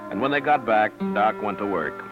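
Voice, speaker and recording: A male actor plays a terse frontier lawman with a very low, authoritative voice. He speaks dryly in 1950s radio drama style. The recording is dull vintage radio with music behind it. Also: lively intonation, normal speed, close to an everyday voice. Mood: measured narration. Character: stern, laconic Old West lawman, adult man. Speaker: lead actor and narrator.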